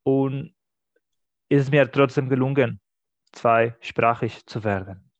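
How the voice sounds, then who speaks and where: A man fields questions after a talk, speaking in a measured, hesitant way as he thinks aloud. His voice sits in a middle range. The recording is dry and a little dull. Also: medium pitch, no echo. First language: Catalan. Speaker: presenter answering questions. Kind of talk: academic talk and Q&A.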